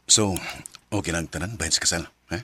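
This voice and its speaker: A man's voice: sits low, brisk, sharp tone, faint music behind. An actor playing a scheming young suitor out to avenge his mother in an emotional radio drama, with a Cebuano accent. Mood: coaxing, eager.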